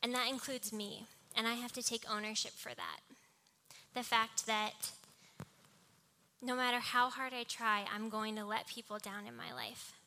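Young woman preaching, high bright voice. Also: level tone, a little slow. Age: young adult. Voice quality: small, cartoonish high voice. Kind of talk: sermon with personal anecdotes. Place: live talk before a congregation.

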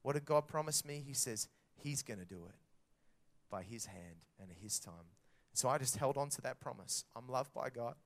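A man preaching a sermon to children and parents, telling a story in a lively way and acting out the dialogue. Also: medium pitch, normal speed.

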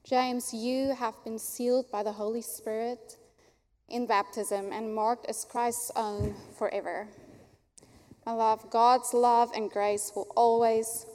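A young adult woman pastor from Africa, an Afrikaans speaker, leading a baptism prayer in an earnest, warm way. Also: a little high, little pitch movement, slow. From South Africa. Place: church sanctuary. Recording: long church hall reverb.

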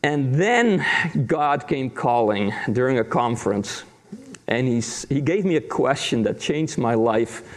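A man's voice: mid-range voice, expressive, measured pace. A man who grew up in the Netherlands and speaks Dutch as his first language, preaching as a guest pastor in an easy, chatty way with self-deprecating jokes. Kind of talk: sermon.

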